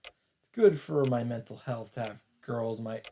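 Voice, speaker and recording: A man's voice: mid-range voice, measured pace, warm tone, a little hiss or hum. A man doing casual let's play commentary, reacting aloud and reading game text out in English. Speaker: let's player. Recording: music playing behind. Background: game music.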